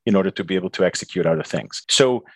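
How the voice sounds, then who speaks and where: Male interview guest from Serbia, slightly deep voice, explains through anecdote, very dry acoustic. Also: racing, slightly rough, dry recording. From Serbia (then Yugoslavia), moved to the US at fifteen. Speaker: interview guest, technology executive. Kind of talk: conversational interview answers.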